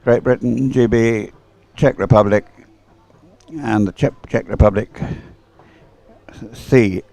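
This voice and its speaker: A man's voice: medium pitch, steady tone, slow, vocal fry, mellow. A man doing live sports commentary and off-the-cuff chat in a hesitant, rambling way, often repeating words.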